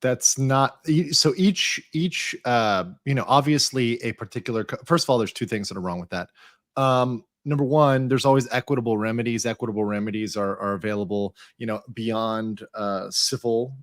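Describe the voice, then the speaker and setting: A man's voice: mid-range voice, moderate pace, no room sound, studio quality. A man giving casual, analytical legal commentary as a panel guest, explaining points emphatically.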